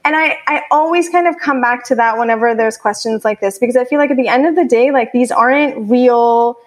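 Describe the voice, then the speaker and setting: Halting young woman, rather high voice. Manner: halting, many fillers and restarts. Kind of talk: casual discussion.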